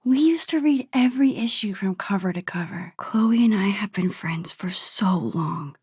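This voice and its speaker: A gentle, worrying teenage girl in a video game monologue. Her voice is soft, husky and breathy, recorded very dry. She murmurs her thoughts to herself in a sweet, introspective, nostalgic way. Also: medium pitch, lively intonation, normal speed, no room sound. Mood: wistful musing.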